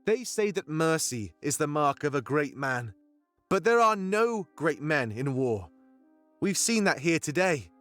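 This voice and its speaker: High-pitched, impassioned rebel leader. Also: male voice, very animated, measured pace, fairly bright tone, no echo. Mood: solemn, resolute. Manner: grandiose, oratorical. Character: fervent male rebel leader, an anthropomorphic animal rallying his fighters. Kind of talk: dramatic video game speech. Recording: music behind the voice. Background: music.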